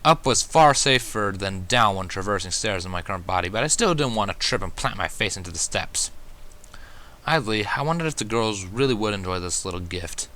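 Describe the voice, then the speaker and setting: A man's voice: lowish voice, animated, a little fast, bright, forceful tone. A man narrating a first-person fan fiction story, reading expressively as an amateur.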